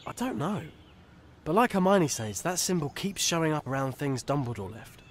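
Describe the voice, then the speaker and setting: A cheeky teen wizard in a game. His pitch moves animatedly as he talks bluntly, with faint noise behind him. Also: big pitch swings, moderate pace. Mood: pondering. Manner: blunt, colloquial. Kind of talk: video game dialogue.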